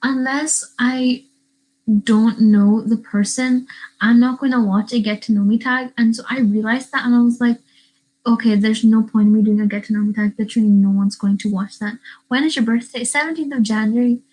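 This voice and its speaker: A woman's voice: a little high, fairly even intonation, moderate pace, darkish tone, low-quality recording. A teenage girl from Northern Ireland chatting casually on a livestream, rambling and answering questions from the live chat. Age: teens. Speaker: YouTuber. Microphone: webcam.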